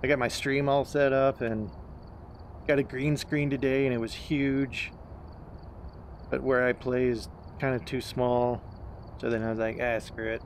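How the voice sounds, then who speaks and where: A man streams a horror game with casual, joking commentary. He speaks in an ordinary mid-pitched voice and swears freely. Some ambient noise runs behind him. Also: little pitch movement, unhurried, long pauses. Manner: joking, swearing, playfully chanting. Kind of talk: casual gaming chat and commentary.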